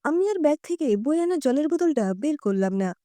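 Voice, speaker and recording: A woman narrating a story in an expressive first-person storytelling style. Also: high voice, fairly quick, dry recording.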